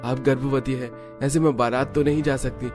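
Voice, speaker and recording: A man's voice: mid-range voice, steady tone, moderate pace, music in the background, pitched above the performer's own voice, close to an everyday voice. A man voicing a cheerful, caring young brother-in-law in a cartoon, friendly and respectful. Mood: happy, caring.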